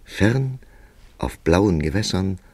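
An actor playing a thoughtful writer who narrates in a radio drama, with a gravelly voice and a measured, deliberate delivery. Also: male voice, deep voice, expressive, darkish tone, full character voice. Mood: pondering, intense. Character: thoughtful male writer narrating his own puzzling encounter. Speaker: radio play lead and narrator. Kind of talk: German radio drama acting.

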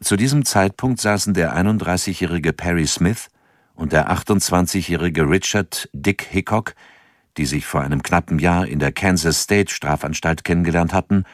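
Male narrator, radio play, sober and measured, deep voice, dry sound. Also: no room sound. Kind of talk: scripted narration.

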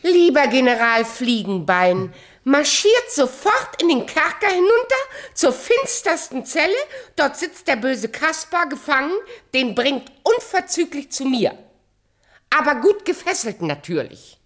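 A woman's voice: sits high, very animated, unhurried, fairly bright tone, full character voice. An actress playing a vain, cruel queen in a radio play, theatrical, by turns wheedling and imperious. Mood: regal, satisfied. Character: vain, cruel queen, at times posing as a coaxing pedlar woman.

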